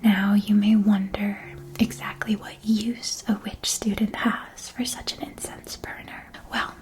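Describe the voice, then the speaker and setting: A woman's voice: medium pitch, level tone, lightly acted. Woman as a whispering witch-shop keeper. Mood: soothing, explanatory. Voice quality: soft, breathy whisper. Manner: slow, gentle, measured, attentive salesmanship. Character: courteous, helpful woman shopkeeper at a witch school supply store. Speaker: ASMR roleplay performer. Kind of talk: whispered ASMR shopkeeper roleplay.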